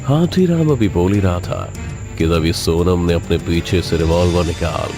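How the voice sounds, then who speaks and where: Male horror narrator, deep voice, scripted storytelling over prominent, loud music. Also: normal speed.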